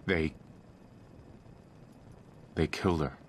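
An actor playing a shaken, grieving but determined father in a video game, halting and earnest. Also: rumbling low, slight background noise, exaggerated voice. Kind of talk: naturalistic video game acting.

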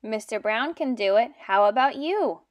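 A young woman teaching and reading aloud to small children in simple, deliberate phrases. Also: on the high side, expressive. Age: young adult. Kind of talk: children's read-aloud lesson.

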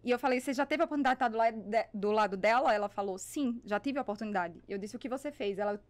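A woman's voice: fairly high pitch, rapid-fire. A talkative woman with a Brazilian accent, telling stories conversationally and emphatically into a studio microphone on a podcast. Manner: talkative, emphatic, acts out dialogue. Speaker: podcast guest, business networker. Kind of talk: animated conversational storytelling. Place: small foam-padded podcast studio. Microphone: studio microphone on boom arm.

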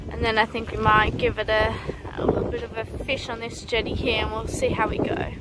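A woman's voice: fairly high pitch, completely flat delivery, fairly quick, rough recording. A young Australian woman vlogging on a windy jetty, chatting and giggling to a phone held at arm's length. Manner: chatty and giggly, talks to the camera. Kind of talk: casual vlog narration. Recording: loud background music.

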